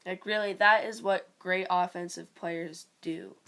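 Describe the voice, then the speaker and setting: A woman with a raspy voice and a stuffy nose, giving rambling, casual sports commentary for her video channel. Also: on the low side, steady tone, unhurried, fairly bright tone. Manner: rambling, full of "like" and "you know". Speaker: video creator.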